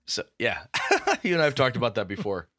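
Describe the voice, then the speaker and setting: A man co-hosting a gaming podcast in casual chat, telling animated stories and acting out what others said. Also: medium pitch, a little fast, no echo, studio quality. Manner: animated storyteller acting out quotes. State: a bit groggy. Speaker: podcast co-host.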